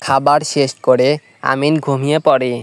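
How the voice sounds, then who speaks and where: A storyteller narrates a scripted cartoon moral tale at a medium pitch. Background noise can be heard behind the narration. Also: flat delivery, moderate pace.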